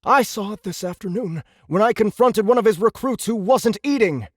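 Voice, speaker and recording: A very high-voiced young alien commander, haughty yet insecure. He speaks with indignant, officious insistence in a science fiction audiobook, in a dry, close recording. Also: lively intonation, moderate pace, no echo. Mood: indignant complaint. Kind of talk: audiobook character acting.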